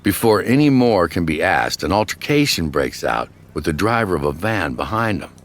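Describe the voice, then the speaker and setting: A man's voice: sits low, big pitch swings, normal speed. A man narrating a true-crime story in a dramatic, suspenseful scripted documentary style. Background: background music.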